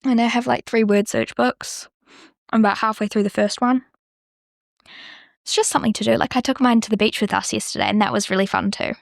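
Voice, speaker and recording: A woman's voice: a little high, steady tone, brisk, vocal fry, dry recording, clean studio sound. A young woman with a New Zealand accent, hosting a podcast in a chatty, rambling way full of "like". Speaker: podcast host. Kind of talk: casual solo podcast chat.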